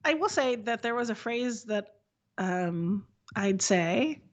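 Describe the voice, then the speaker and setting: A woman's voice: fairly high pitch, animated. Blunt woman from the Texas Panhandle. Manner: blunt, swears casually, quick asides. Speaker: podcast co-host. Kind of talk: casual chat.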